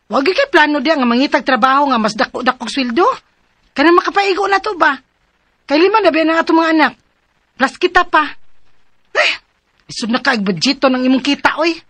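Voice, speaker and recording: A woman's voice: sits high, animated, moderate pace, long pauses, fairly bright tone, dry recording. An actress with a Filipino Cebuano accent playing a fed-up, pushy, whining poor rural wife and mother in a radio drama. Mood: weary complaining. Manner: whining, pushy, punctuated with exclamations.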